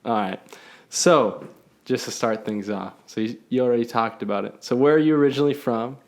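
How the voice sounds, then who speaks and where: A man's voice: medium pitch, a little fast, vocal fry. A young man hosting a casual podcast chat, teasing his guest and laughing often. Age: young adult. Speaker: podcast host.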